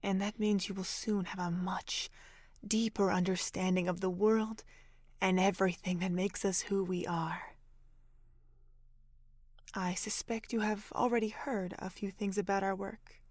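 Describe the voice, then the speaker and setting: Woman playing motherly pony doctor, reassuring, deliberate, mid-range voice, audio drama, dry close recording. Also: mid-range voice, fairly even intonation, darkish tone, no room sound, lightly acted. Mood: reassuring, solemn.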